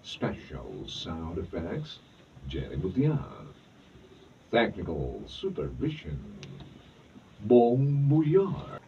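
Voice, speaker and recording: A Filipino man announces a radio drama with solemn, theatrical delivery. He is very slow and mellow, with huge swings of pitch. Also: huge pitch swings. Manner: solemn, declamatory. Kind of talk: dramatic scripted narration, reading credits. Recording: dull distant mic, faint noise.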